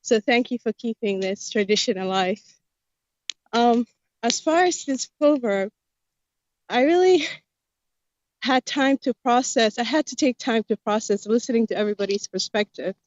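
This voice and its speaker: A soft-spoken woman from Somalia, speaking thoughtfully with a somewhat high voice. The audio is dull and lo-fi, and dry with no room sound. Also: animated, moderate pace, long pauses, dry recording. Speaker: panelist. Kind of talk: reflective group discussion. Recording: muffled lo-fi audio.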